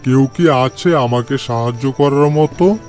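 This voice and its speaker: A man's voice: medium pitch, measured pace, darkish tone, soft background music, lightly acted. A man voicing an arrogant, bullying tiger who rules the jungle in a cartoon moral story, with a domineering manner. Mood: worried, hungry. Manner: domineering, threatening, scolding.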